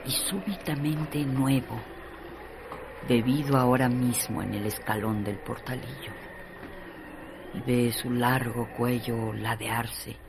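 A man narrating a radio drama in a slow, grave way. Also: medium pitch, background noise.

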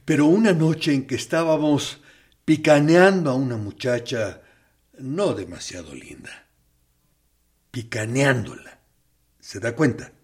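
An actor in a radio drama playing a tormented torturer captain, confessing with anguish and swinging from hushed to loud. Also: male voice, medium pitch, lively intonation, measured pace, long pauses, breathy edge. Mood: anguished confession.

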